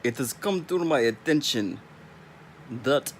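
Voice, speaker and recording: A man's voice: mid-range voice, animated, a little slow, very noisy. A young man from California with a Californian accent, rambling bluntly and swearing freely in a casual vlog. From California, US West Coast. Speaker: vlogger.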